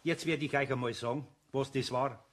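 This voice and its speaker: An actor playing a gruff but kindly older craftsman in a children's audio drama, folksy and blustering, with a Bavarian accent. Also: medium pitch, fairly even intonation, moderate pace, lightly acted. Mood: scolding.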